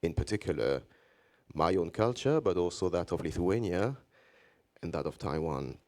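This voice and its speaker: A man from Belgium whose first language is French, giving a measured conference presentation in English with a Belgian French accent and frequent pauses. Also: deep voice, animated, darkish tone. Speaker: conference speaker, journalist.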